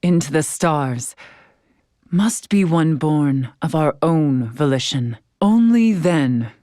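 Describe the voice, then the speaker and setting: An actress playing a dignified, stern, scholarly woman in a fantasy video game, speaking in formal, archaic, measured phrases. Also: low-pitched, animated, mellow, no room sound, clean studio sound. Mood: solemn. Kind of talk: scripted video game character dialogue.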